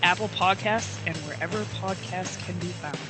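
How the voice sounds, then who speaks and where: A woman's voice: lowish voice, normal speed, over loud music, low-quality recording. A woman co-hosting a comedy podcast, answering in scripted promo banter.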